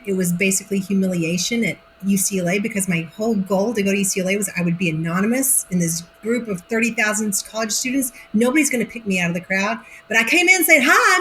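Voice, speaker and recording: An actress guest from Oklahoma, speaking in a casual interview with a faint Oklahoma accent and telling stories with lively acted-out quotes. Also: female voice, fairly high pitch, a little hiss or hum, rough recording. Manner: animated storytelling, acts out quotes.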